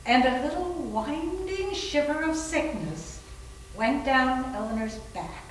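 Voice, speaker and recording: A woman narrates a scripted story at an unhurried pace. Her voice is on the high side, heard from a distance in a very echoey room. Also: animated. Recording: very echoey room, distant mic, faint noise.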